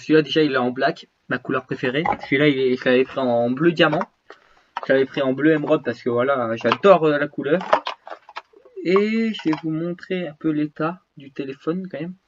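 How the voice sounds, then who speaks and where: Casting: rambling vlogger with a cold, fairly high male voice, dull lo-fi audio. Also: a little hiss or hum. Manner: rambling, restarts, slangy. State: has a cold, stuffy and short of breath. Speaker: YouTuber showing his gear. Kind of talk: casual vlog chat to viewers.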